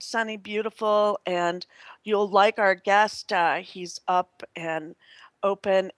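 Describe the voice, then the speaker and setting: A woman's voice: mid-range voice, a bit bright, no room sound. Effusive, chatty woman radio host. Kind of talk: casual unscripted radio talk. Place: live internet radio broadcast.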